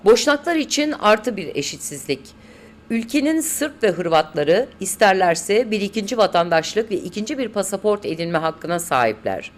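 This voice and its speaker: Lively woman narrator, noisy recording. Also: mid-range voice, normal speed. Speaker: documentary narrator. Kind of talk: scripted documentary narration. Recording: clearly noisy track with clicks.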